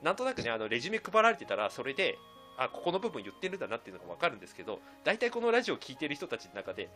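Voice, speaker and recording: A man's voice: medium pitch, wildly swooping pitch, rapid-fire, sharp tone, faint music behind. A man hosting a podcast, explaining and advising in a casual discussion, stressing his points emphatically by repeating them. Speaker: podcast host.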